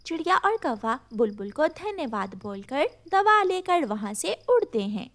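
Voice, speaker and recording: A woman's voice: high voice, big pitch swings, unhurried, fairly bright tone. A woman reading a scripted children's story as narrator, with faint noise behind her.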